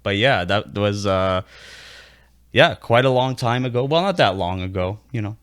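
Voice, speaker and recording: A man's voice: lowish voice, lively intonation, normal speed, fairly bright tone, clean tone, no echo, studio quality. A man in his thirties from Quebec, a native French speaker, chatting easily as a podcast guest and laughing often. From Quebec, Canada. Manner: easygoing, laughs often, lots of fillers. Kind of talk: casual interview chat.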